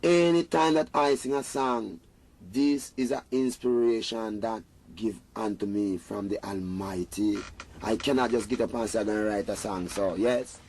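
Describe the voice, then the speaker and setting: A man's voice: fairly low pitch, steady tone, moderate pace, a little mellow. A Jamaican man, a reggae singer being interviewed, talking in an earnest, rambling way with patois phrasing. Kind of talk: casual interview talk.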